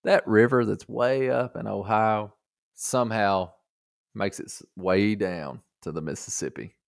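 Folksy man, low voice. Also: unhurried, vocal fry, dry recording, clean studio sound. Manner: folksy, joking. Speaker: podcast host. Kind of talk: casual chat.

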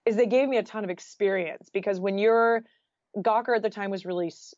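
A woman's voice: medium pitch, a little fast, a little mellow, no room sound, dull audio. An American woman telling stories casually as an interview guest, chatty and sprinkled with "like". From Oregon, United States.

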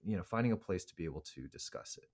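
Very deep-voiced man rambling with fillers. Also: lively intonation, racing, a little mellow, husky. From Korea. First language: Korean. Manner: rambling, many fillers and restarts. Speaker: podcast co-host. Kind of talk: casual chat.

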